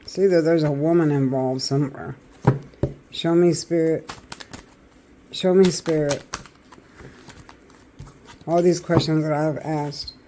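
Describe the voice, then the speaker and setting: A man with a raspy voice doing a chatty tarot reading, talking straight to his viewers. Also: fairly high pitch, steady tone, slow, pauses often, noisy background. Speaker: tarot reader.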